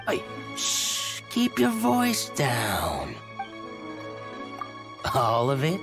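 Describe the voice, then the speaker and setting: A man voicing a wily, secretive shady dealer in a video game, furtive and deliberate. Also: mid-range voice, huge pitch swings, music in the background, heavily acted. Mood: furtive, wary.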